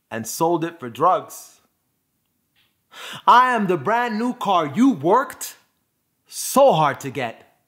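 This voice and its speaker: Slow, dramatic male monologue performer, high-pitched. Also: a bit bright. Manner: deliberate, emphatic phrasing with dramatic pauses. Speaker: spoken word performer.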